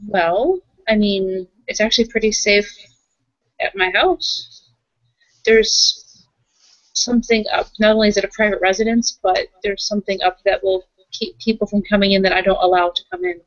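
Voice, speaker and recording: A woman improvising roleplay conversation, thinking aloud haltingly and often repeating her phrases. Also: medium pitch, lively intonation, no room sound, dull audio. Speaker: tabletop roleplaying player and game runner.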